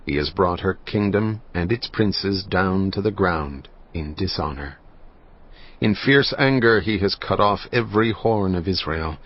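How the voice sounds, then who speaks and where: Solemn low-voiced man narrating scripture. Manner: solemn, measured, grave. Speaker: Bible narrator. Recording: slightly muffled, faint music behind.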